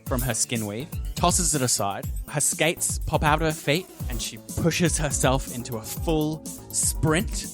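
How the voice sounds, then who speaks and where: A man's voice: on the high side, measured pace, over music. A man narrating a tabletop game as game master, setting scenes dramatically.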